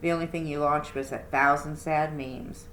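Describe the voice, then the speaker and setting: A woman's voice: sits low, little pitch movement, moderate pace, fairly bright tone. A woman reading a storybook as a teasing, skeptical but concerned middle-school boy with a dry manner. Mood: skeptical, probing. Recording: roomy echo, background noise.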